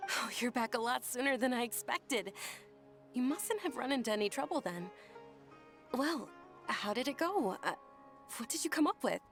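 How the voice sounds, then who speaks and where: An actress playing a caring, refined young noblewoman in a video game, speaking politely and gently. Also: a little high, lively intonation, moderate pace, pauses often. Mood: curious, caring. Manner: polite, soft-spoken, attentive. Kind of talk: video game character acting. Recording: music behind the voice.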